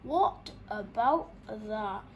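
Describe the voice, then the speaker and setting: A woman voicing a grumpy but kindly male badger in a children's storybook, gruff and emphatic. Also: medium pitch, expressive, extremely slow, a little mellow, slight background noise. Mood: puzzled, pleased.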